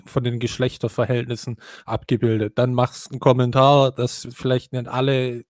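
A man's voice: medium pitch, monotone, normal speed, darkish tone, no room sound. Blunt, dismissive man, dry sound. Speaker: podcast co-host. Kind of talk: casual debate.

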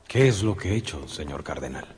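A man's voice: rumbling low, expressive, a little fast, a little mellow, a little hiss or hum. An actor playing a young swordsman hero in a radio drama, sincere, resolute and respectful in manner. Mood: respectful, firm. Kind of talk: Spanish-language radio drama acting.

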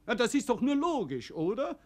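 An actor with an Austrian accent playing a chatty, folksy prison warder in a German radio play. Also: male voice, very high-pitched, wildly swooping pitch, moderate pace, full character voice. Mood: puzzled. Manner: garrulous, folksy.

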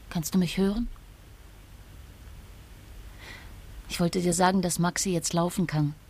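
An actress playing a grieving young mother in a radio drama, speaking intimately and wistfully in a breathy voice. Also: fairly low pitch, a little mellow, slight background noise, close to an everyday voice. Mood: tender, sorrowful. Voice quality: husky, breathy. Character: grieving young mother talking to her absent husband.

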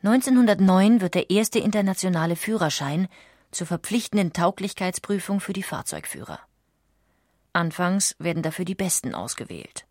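A woman's voice: lowish voice, very animated, normal speed, darkish tone, no room sound, clean studio sound. A woman reading scripted narration in the manner of a documentary audiobook.

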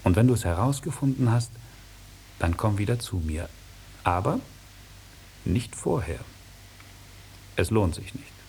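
An actor playing a sly, mocking, melancholy old dragon who knows everything, declaiming theatrically in a 1950s German radio play. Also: male voice, fairly low pitch, lively intonation, a little slow, long pauses. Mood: sad, stern. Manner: theatrical, declaiming, swooping from hushed to loud. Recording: hissy 1950s radio recording.

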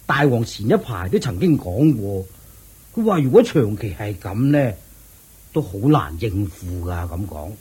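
A man's voice: on the low side, sing-song, measured pace, a little mellow, breathy edge, exaggerated voice. A man with a Hong Kong Cantonese accent playing a thrifty, sly royal chancellor in a radio drama, coaxing and explaining in a placating way. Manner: chuckling, coaxing, explaining patiently.

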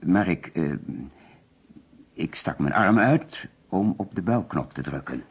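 A man's voice: deep voice, animated, takes it slowly, slightly rough, heavily acted. An actor with a Netherlands Dutch accent playing an anxious, hesitant businessman who narrates and mutters to himself in a radio play. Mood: measured, recollecting. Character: anxious middle-class businessman recounting finding a murdered man. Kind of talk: radio play acting with first-person narration. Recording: muffled, noisy old radio play recording.

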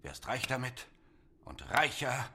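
A man's voice: mid-range voice, sing-song, slow pace, pushed and bright, husky, heavily acted. An actor playing a poor, middle-aged village father in a radio play, resigned and sardonic in manner. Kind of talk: German radio play acting.

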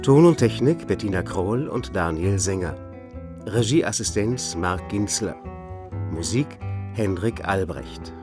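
A man reading the credits of a radio play as a scripted announcement, measured and formal. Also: low voice, lively intonation. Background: plucked guitar music.

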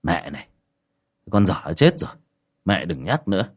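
Man playing a gruff, frightened grown son. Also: sits low, big pitch swings, normal speed, voice pushed down, exaggerated voice. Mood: sullen. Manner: blunt and gruff, later stammering pleas. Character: grown son, sullen then terrified and sobbing.

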